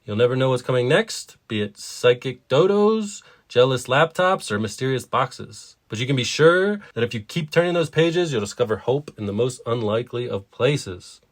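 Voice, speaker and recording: A man's voice: mid-range voice, expressive, normal speed, hardly any pauses. A man co-hosting a story podcast, chatting casually and giving introductions. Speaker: podcast co-host.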